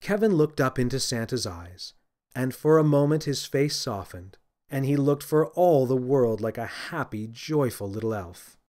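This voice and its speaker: Male narrator for kids' audiobook. Medium pitch, expressive scripted storybook read. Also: normal speed. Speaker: author reading own children's audiobook.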